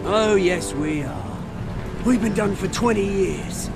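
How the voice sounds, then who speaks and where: An actor playing a vengeful, taunting British villain in a video game, theatrical and sneering. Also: male voice, high-pitched, expressive, slow pace, creaky, full character voice. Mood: bitter rage. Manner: theatrical, sneering, swinging into outbursts. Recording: loud music under the voice.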